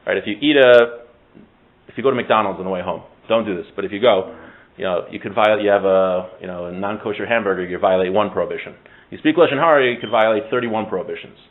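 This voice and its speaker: A man's voice: lowish voice, fast. A man teaching an informal Torah class in a conversational, joking, self-interrupting way, sprinkling Hebrew terms into his talk. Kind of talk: informal religious lesson with discussion. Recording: thin phone-like audio.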